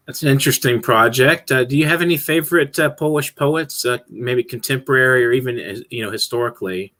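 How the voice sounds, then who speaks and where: A man's voice: mid-range voice, brisk, a bit bright. A male host asking long, rambling interview questions padded with fillers and restarts. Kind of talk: casual interview.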